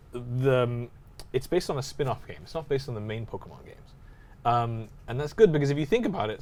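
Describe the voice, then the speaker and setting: A man's voice: medium pitch, a little mellow. A man co-hosting a podcast in casual conversation, often chuckling as he talks. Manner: chuckles often while talking. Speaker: podcast co-host. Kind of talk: casual chat about video game movies.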